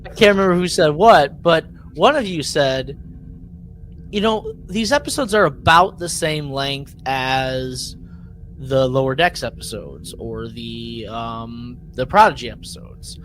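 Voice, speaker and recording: A man co-hosting a podcast in casual chat, rambling and halting, often repeating words as he works out a point. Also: mid-range voice, lively intonation, fairly bright tone, faint music behind, poor audio. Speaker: podcast co-host.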